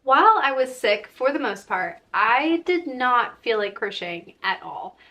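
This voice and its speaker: A woman's voice: a little high, very animated, a little slow, sharp tone. A young woman vlogger talking to her viewers in a chatty, informal way. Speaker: crochet vlogger and host. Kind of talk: casual chat to viewers.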